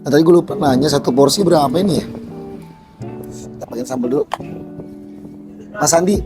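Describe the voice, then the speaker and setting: A hoarse man, excitedly vlogging about street food outdoors at a stall and calling his viewers "guys". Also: on the high side, brisk, over music. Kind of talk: casual street-food vlog.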